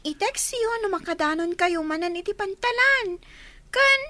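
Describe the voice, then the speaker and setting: A woman's voice: very high voice, lively intonation, a little slow, bright, forceful tone, no room sound, heavily acted. A woman voicing a chatty young schoolgirl in an Ilocano radio drama. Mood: eager, dutiful.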